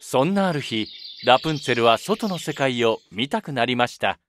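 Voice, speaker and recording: A man's voice: medium pitch, lively intonation, normal speed, fairly bright tone. A man narrating a children's fairy tale from a script, as the storybook narrator.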